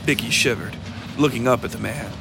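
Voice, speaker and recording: Gravel-edged male story narrator over loud music. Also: mid-range voice, brisk. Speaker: narrator of a fiction podcast. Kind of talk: scripted dramatic story narration.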